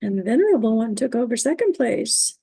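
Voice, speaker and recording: A woman moderating an online trivia quiz, reading out questions and standings, who is losing her voice and keeps clearing her throat. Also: a little high, big pitch swings, warm tone, no room sound, low-quality recording. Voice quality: gravelly, husky. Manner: upbeat, reading standings, halting on invented words.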